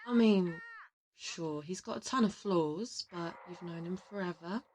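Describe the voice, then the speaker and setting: A woman's voice: lowish voice, a little slow, a little mellow, a little hiss or hum, close to an everyday voice. A woman playing a confident, teasing interrogator from an assassin team in an audio roleplay, affectionate and boastful. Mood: gentle, knowing. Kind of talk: audio roleplay addressed to the listener.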